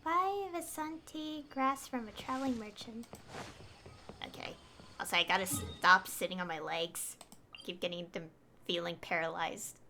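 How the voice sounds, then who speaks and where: A woman's voice: medium pitch, a little slow, pushed and bright, faint music behind. A woman doing casual livestream game commentary, muttering to herself and reading prompts aloud. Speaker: game streamer.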